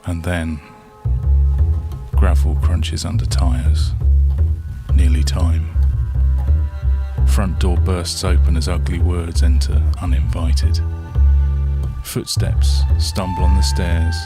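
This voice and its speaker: A man with a low voice narrates a story at a very slow pace. He speaks in short, measured phrases and leaves dramatic pauses. Prominent plucked guitar music plays behind him. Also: flat delivery. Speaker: storyteller, author reading own story. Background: soft plucked guitar soundtrack.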